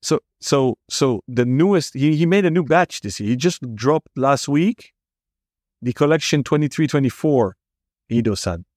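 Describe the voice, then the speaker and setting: A man with a Dutch accent hosts a relaxed podcast conversation, curious and eager as he puts questions to his guest. His voice sits at an ordinary middle pitch. The recording is close and dry, with almost no room sound. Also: moderate pace, no room sound. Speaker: podcast host. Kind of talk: casual interview chat.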